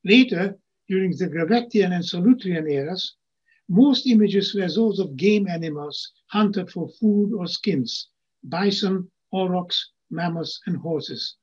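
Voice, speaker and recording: A man's voice: high voice, a little slow, darkish tone, slightly rough. An older man giving a lecture from home over a video call, reading his slides and adding plain asides. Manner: reads slide text, adds plain asides. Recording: muffled lo-fi video call audio.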